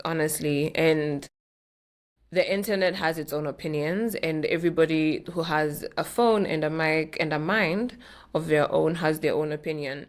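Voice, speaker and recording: Low-voiced Kenyan woman chatting casually. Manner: candid, playful, addresses listeners directly. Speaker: podcast host. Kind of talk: casual solo chat.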